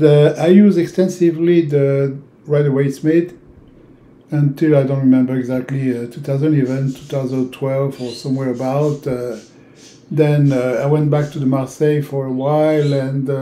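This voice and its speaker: A man in his sixties from Europe, a native French speaker with a French accent, giving an informal, halting instructional talk with long hesitations. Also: a little high, warm tone. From francophone Europe. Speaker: tarot teacher. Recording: roomy, echoing indoor sound.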